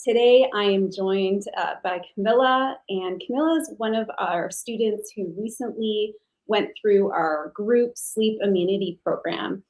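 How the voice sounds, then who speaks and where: A woman's voice: mid-range voice, unhurried, a little mellow, rough recording. A woman hosting an interview podcast as a coach, speaking conversationally and encouragingly to a guest. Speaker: podcast host and sleep coach.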